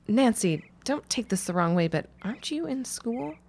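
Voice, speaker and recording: A woman's voice: a little high, animated, moderate pace, vocal fry, a little mellow. An actress playing a wry, practical, frank woman shopkeeper in a conversational period audio drama. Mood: wry, casual.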